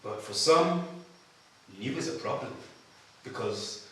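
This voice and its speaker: An actor playing a wry, philosophical pink elephant who narrates a film script in a measured manner. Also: male voice, medium pitch, expressive, slight background noise. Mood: reflective. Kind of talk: scripted storytelling at a table read. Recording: very echoey room, far from mic.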